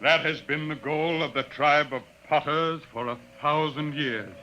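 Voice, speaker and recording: Slow, imperious alien chieftain. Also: male voice, fairly high pitch, sharp tone, lo-fi sound, a little hiss or hum. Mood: scornful, grave. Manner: solemn, imperious, formal archaic speech. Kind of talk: science fiction radio drama acting.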